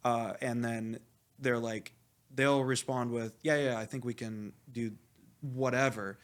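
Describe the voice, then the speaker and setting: Male coach, animated and outspoken, rambling candidly in a casual interview, mid-range voice. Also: medium pitch, a little slow. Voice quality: loud voice. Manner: animated, rambling, heavy use of "like"; blunt, swears freely. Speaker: esports coach being interviewed.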